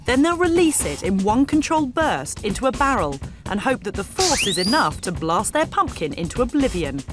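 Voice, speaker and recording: A woman's voice: a little high, big pitch swings, normal speed. A woman giving scripted narration for a competition show in an upbeat, punchy way. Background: music.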